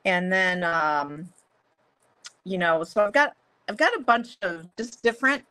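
Middle-aged woman guest, crisp bright tone, chatty and rambling, webcam audio from home. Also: lively intonation, a little slow. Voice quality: deeper voice for a woman. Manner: chatty, many fillers and restarts, laughs often. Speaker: guest, photographer and songwriter. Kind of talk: casual interview chat. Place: home room, video call.

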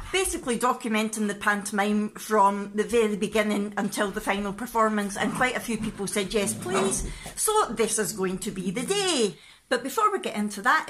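An excitable Scottish woman with a bright, fairly high voice talks in a casual, chatty vlog style. She is in her thirties and speaks into a phone at home. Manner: excitable, expressive. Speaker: family vlogger. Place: living room at home. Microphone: phone at arm's length.

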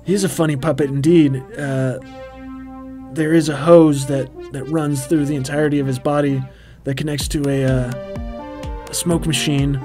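A man in his thirties giving a rambling, relaxed behind-the-scenes commentary with quaintly formal turns of phrase, full of ums and uhs. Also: a little high, a little slow. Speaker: puppeteer and co-host of a commentary track. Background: faint background music.

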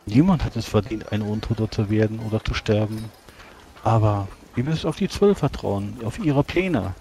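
A man playing a solemn, measured priest in a tabletop game, speaking formally and archaically as he counsels a comrade. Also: fairly low pitch, dark tone, slight background noise, lightly acted. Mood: earnest, exhorting. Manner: formal archaic speech, preachy, deliberate. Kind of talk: tabletop roleplay in character.

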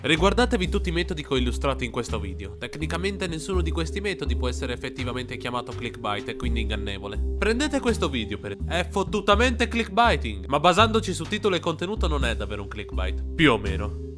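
A man's voice: medium pitch, very animated, fast talker. A man reads a scripted explainer in a wry, informal way. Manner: wry, informal, with casual swearing. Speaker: video narrator, dubber. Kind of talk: scripted explainer narration for an animated video. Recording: voice-over with music underneath.

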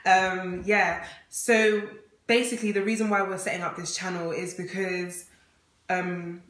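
A woman's voice: on the low side, flat delivery, measured pace, fairly bright tone. A talkative woman in her twenties from Ghana, chatting casually to camera as co-host of a vlog, laughing often. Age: 20s. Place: indoor room with plain wall. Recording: roomy echo.

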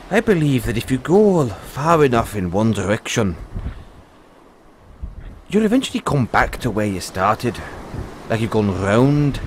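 Man acting a musing, free-spirited dragon rider, animated pitch. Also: a little hiss or hum. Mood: earnest musing. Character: free-spirited, idealistic male dragon rider, kindly mentor. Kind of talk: audio roleplay monologue.

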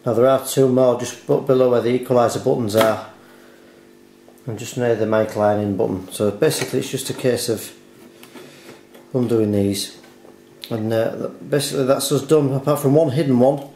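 A man's voice: medium pitch, fairly even intonation, normal speed, mellow. A man narrating a hands-on repair tutorial step by step, explaining carefully and without hurry. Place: indoors at a dining table in a home. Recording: roomy echo, background hiss, handling clicks.

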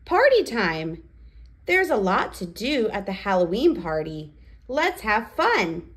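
A woman hosting a read-aloud for toddlers, speaking in a deliberate, sing-song way with simple phrasing. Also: medium pitch, very animated, fairly bright tone. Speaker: children's read-aloud host.

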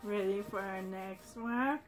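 A woman's voice: medium pitch, slow pace. A middle-aged woman hosting a casual home video, chatting through a snack tasting and reading packet labels aloud in a puzzled way. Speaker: channel host. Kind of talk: casual food tasting chat. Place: living room at home, indoors. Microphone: camera on a tripod across the table. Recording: music playing in the background.

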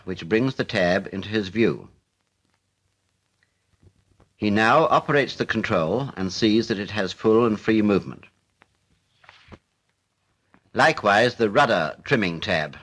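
A British man narrating a 1940s military instructional film in a formal, measured, matter-of-fact way. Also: lowish voice, fairly bright tone, low-quality recording.

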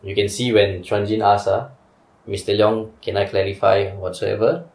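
A man's voice: lowish voice, fairly even intonation, normal speed, darkish tone, roomy sound. A young Singaporean man hosting a casual chat in a small studio, steering the talk and asking others for their views. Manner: leads the talk, asks for reactions. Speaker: podcast host. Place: small indoor studio with couches.

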